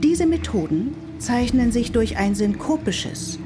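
A composed lecturer. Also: female voice, fairly high pitch, animated, unhurried. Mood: calm. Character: female lecturer addressing a listening audience. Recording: music playing behind.

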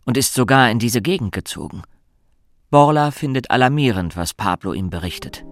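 A man's voice: mid-range voice, animated, normal speed, dry recording, natural voice. A man narrating a suspense radio play as a composed storyteller. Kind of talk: scripted radio drama narration.

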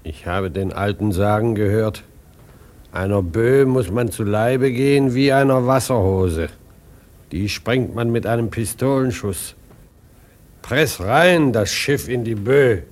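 An actor in a radio drama playing a rough, weary sailor with a blunt, colloquial manner. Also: male voice, lowish voice, takes it slowly, darkish tone. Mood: blustering. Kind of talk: German radio drama acting.

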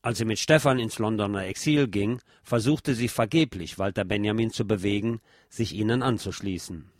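A man's voice: fairly low pitch, normal speed, dry recording, clean studio sound. A man reading a scripted biographical narration.

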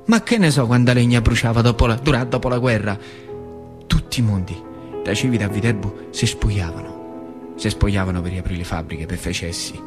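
A man's voice: on the low side, a little fast, music in the background, lightly acted. An actor playing an old factory worker recalling his boyhood in a colloquial theatrical monologue, with a rural central Italian accent from northern Lazio. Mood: earnest, reminiscing. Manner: colloquial, vivid, swinging between hushed and cried-out delivery. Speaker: stage actor performing a monologue. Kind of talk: theatrical storytelling monologue for radio.

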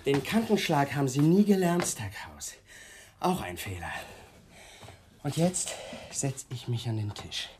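An actor playing a menacing young police lieutenant in a radio drama, mockingly polite and domineering. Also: male voice, mid-range voice, very animated, measured pace, noisy background. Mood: coldly threatening. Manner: taunting, theatrically polite, domineering. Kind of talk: 1980s German radio drama acting.

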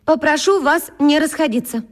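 An actress playing a composed, patient schoolteacher in a children's radio drama, speaking in a measured way as if to pupils. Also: female voice, high-pitched, in a large hall, natural voice. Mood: composed, formal. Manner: measured, addressing a class.

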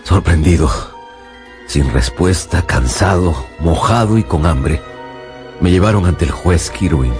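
A man's voice: a little high, animated, normal speed, pauses often, natural voice. An actor playing an anguished, haunted man who recounts his ordeal in a theatrical, fearful radio drama narration. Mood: grave, dread. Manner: theatrical, fearful, repeats words for emphasis. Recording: studio radio drama with music bed.

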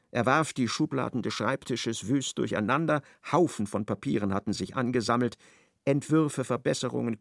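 A man narrating a radio play with expressive, dramatic storytelling. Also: mid-range voice, creaky, dry recording, clean studio sound.

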